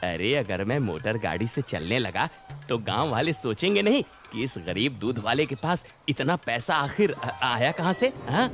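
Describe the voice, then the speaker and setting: A man plays a crafty village milkman in a children's cartoon tale. His mid-pitched voice swings widely, folksy and exaggerated, putting on humble simplicity over greed. Music plays behind him. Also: big pitch swings, normal speed, a bit bright. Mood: sly, gloating. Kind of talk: animated children's moral story dialogue.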